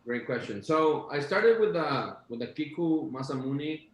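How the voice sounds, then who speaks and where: A man's voice: a little high, expressive, unhurried. Echoey Costa Rican man, enthusiastic. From Costa Rica. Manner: enthusiastic, descriptive, many fillers. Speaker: chef guest. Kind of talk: casual video-call chat.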